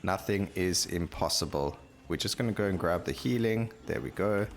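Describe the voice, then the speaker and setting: Low-voiced man commentating a video game. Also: moderate pace. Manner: thinking aloud, explaining choices as he plays. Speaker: gaming YouTuber.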